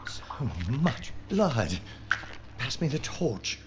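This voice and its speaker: An actor playing a wry, gallant gentleman investigator in an audio drama, with a polite, hesitant manner. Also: medium pitch, very animated, drawn-out words, noisy background. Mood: aghast.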